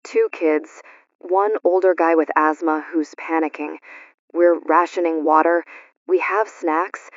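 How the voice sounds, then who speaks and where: A woman's voice: deep voice, steady tone, a little slow, no echo, lo-fi sound. An actress playing a worried woman who calls in from a sealed vault full of people, reporting in short, clipped phrases. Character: anxious woman trapped in a vault with others.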